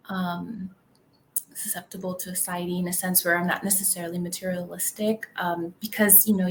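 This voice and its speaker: Young cali woman, tagalog was her first language, talks in loops w/ tons of likes and ums, average pitch. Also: a bit bright, slight background noise. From California, United States. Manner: rambling, heavy on "like" and "um". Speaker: podcast guest. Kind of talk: casual interview chat.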